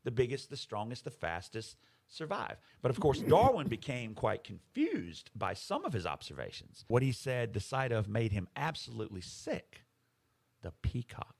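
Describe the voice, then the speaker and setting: A man's voice: mid-range voice, huge pitch swings, normal speed, vocal fry, a little mellow, slight background noise. A man co-hosting a podcast, explaining ideas in casual conversation, emphatic and fond of rhetorical questions. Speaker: podcast co-host.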